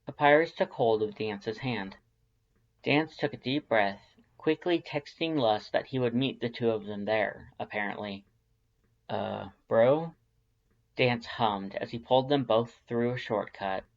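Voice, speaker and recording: A man's voice: medium pitch, moderate pace, dull audio. A man reading scripted fan fiction narration as a storyteller.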